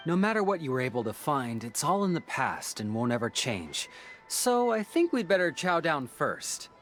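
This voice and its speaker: A man voicing a friendly, easygoing young male detective in a video game, with a hearty, animated way of talking. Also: fairly high pitch, normal speed, music in the background. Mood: cheerful, hospitable.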